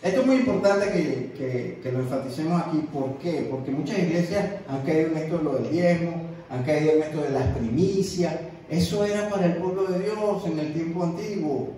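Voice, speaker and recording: High-voiced preacher in an echoey room. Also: male voice, normal speed, few pauses, dark tone, slight background noise. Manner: emphatic, repeats words, mimics other preachers. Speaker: evangelist preacher. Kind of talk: sermon. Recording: echoey room, distant mic.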